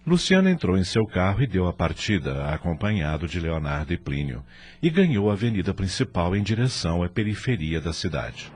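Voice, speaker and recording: A man narrating an audio drama from a script in an expressive, literary storytelling manner. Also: sits low, brisk.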